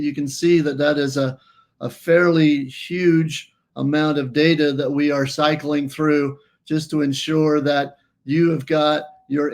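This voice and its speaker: A man presenting a walkthrough of a wellness app, explaining step by step and often restarting phrases. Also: a little high. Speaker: company presenter.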